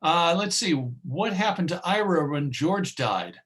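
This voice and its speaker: A man presenting an informal online talk and answering questions in a hesitant, musing way, often pausing mid-phrase. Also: fairly high pitch, animated, a bit bright. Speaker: presenter and old-time radio collector.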